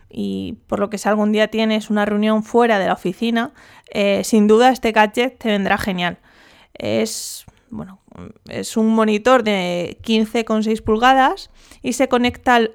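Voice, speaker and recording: A woman's voice: medium pitch, vocal fry, clean tone. A woman hosting a casual solo podcast, chatty and rambling with jokey asides. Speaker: podcast host.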